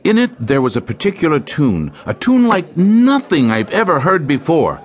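An actor playing a scheming male jazz clarinetist in an audio drama, smooth-talking and sly. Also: fairly high pitch, huge pitch swings, moderate pace, heavily acted. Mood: curt. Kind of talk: radio drama acting.

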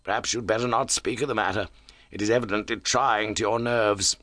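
A man voicing a kindly, brisk gentleman doctor in an audiobook, courteous and concerned. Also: fairly low pitch, fairly even intonation, no room sound. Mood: advising. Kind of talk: audiobook character voice.

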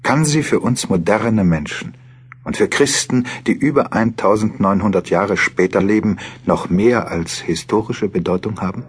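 A man playing a serious writer who narrates his thoughts in a radio play, deliberate and reflective. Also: on the low side, breathy edge. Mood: musing. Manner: deliberate, musing. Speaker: narrator in an audio drama.

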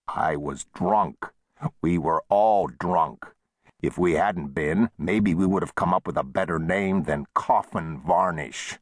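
A man's voice: low-pitched, slow pace, no room sound, studio quality. A man voicing a wry, easygoing old frontier saloon owner with folksy, plain-spoken talk in a western audiobook. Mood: dry, exasperated.

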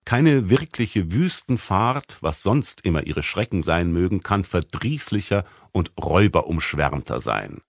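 A man narrating a literary audiobook in a measured, formal manner with rhetorical emphasis. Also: low voice, no room sound, studio quality. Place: dry recording studio.

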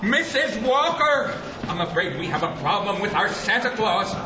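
High-voiced, fussy male assistant. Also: sing-song, normal speed, roomy sound, highs cut off, noisy background. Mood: anxious. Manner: prim, fretful. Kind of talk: full-cast audio drama acting.

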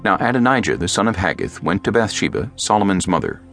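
A man narrating scripture as a scripted audiobook, in a measured, solemn storytelling manner. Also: sits low, sing-song, gravelly, a bit bright, faint music behind.

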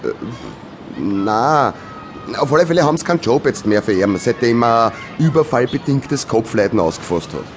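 A male actor plays a rambling postman in a radio play. His Viennese voice swings animatedly around a middle pitch, chummy and ingratiating but evasive and opinionated. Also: lively intonation, normal speed, faint music behind, close to an everyday voice.

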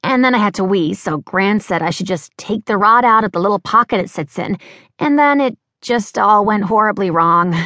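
A woman's voice: mid-range voice, lively intonation, normal speed, sharp tone, no room sound, clean studio sound, pitched above the performer's own voice. A woman voicing a clumsy, bubbly young American woman with an American accent who rambles on breathlessly. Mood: chatty.

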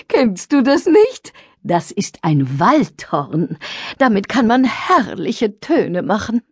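A woman playing a friendly, proud music witch in a children's audiobook, explaining things in an unhurried, teacherly way. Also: on the high side, big pitch swings, husky, dry recording, studio quality, heavily acted. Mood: amused, explaining.

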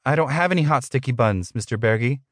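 A man's voice: medium pitch, lively intonation, a little fast, dry recording, studio quality, voice pushed up, natural voice. A man voicing a blunt, matter-of-fact female office secretary in an audiobook. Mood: flat, unimpressed.

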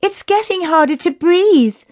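A woman's voice: extremely high pitch, lively intonation, a little slow, darkish tone, dry recording, pitched above the performer's own voice. A woman voicing an anxious, caring young girl on an adventure in a children's audiobook. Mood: breathless worry.

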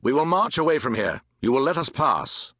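A man's voice: on the high side, very animated, moderate pace, pushed and bright, no room sound, clean studio sound, voice pushed up, exaggerated voice. A man voicing a resolute army captain in an audiobook, giving formal, authoritative orders. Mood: firm. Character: commanding, resolute male British army captain.

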